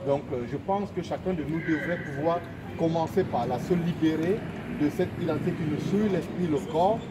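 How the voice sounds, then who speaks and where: A man's voice: a little high, normal speed. A man from Cameroon speaking at an outdoor protest. He asks pointed questions and turns them into rallying calls. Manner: earnest, questioning, slogan-like. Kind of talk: impassioned street speech. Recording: loud street noise outdoors.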